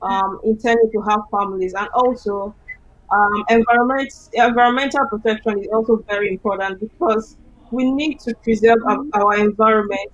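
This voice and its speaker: A woman answering as an election candidate on a panel, speaking haltingly with many fillers. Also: mid-range voice, steady tone, no echo, lo-fi sound.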